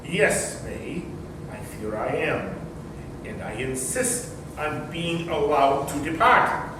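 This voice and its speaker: A man's voice: fairly high pitch, sing-song. A man playing a stubborn, stuffy rich uncle who speaks formally in a staged comedy reading. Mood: firm, uneasy. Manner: formal, deliberate phrasing. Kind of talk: staged readers theater comedy. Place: large room or hall, distant microphone. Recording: echoey room from far off, noisy.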